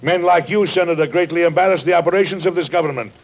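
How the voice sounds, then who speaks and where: Stern middle-aged president, husky, formal, measured, weary and principled, dull vintage radio recording. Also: male voice, on the high side. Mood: firm indignation. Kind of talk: historical radio drama acting.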